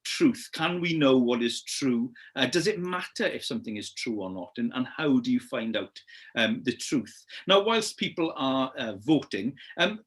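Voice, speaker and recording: A Welsh man of ordinary pitch hosts a relaxed interview over a video call. He hesitates often and keeps praising his guest. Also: moderate pace. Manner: warm and encouraging, many "um"s, says "brilliant" a lot. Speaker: host interviewer. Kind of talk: casual interview hosting.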